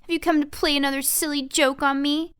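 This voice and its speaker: A woman's voice: high voice, level tone, brisk, a bit bright, no echo, clean studio sound, pitched above the performer's own voice. A woman voicing a hardworking, grouchy female mouse in a children's storybook, solemn, scolding and curt. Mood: grumpy.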